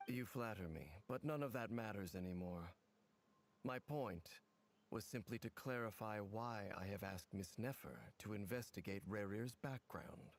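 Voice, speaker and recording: An actor playing a mysterious, world-weary masked man in a video game, speaking in a solemn, measured, cryptic way. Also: lowish voice, slight background noise, close to an everyday voice. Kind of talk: dramatic video game dialogue.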